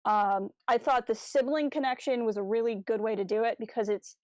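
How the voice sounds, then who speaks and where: Higher-pitched woman podcaster, dry close sound. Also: fairly quick, darkish tone, no echo. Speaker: podcast co-host. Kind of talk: casual discussion of a fan audio drama review.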